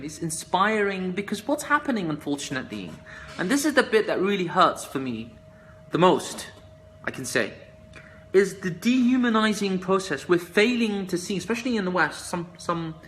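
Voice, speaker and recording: A man answering viewers' questions in a casual live chat, soft-spoken and hesitant, with many fillers and restarts. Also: a little high, slight background noise. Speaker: musician answering fans in a live stream.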